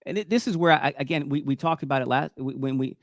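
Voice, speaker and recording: A man's voice: mid-range voice, fast, vocal fry, no room sound, clean studio sound. A man in his fifties hosting a conversational faith talk show, speaking emphatically and often repeating himself to check in. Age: 50s. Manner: emphatic, repetitive, checks in with "right?". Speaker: show host.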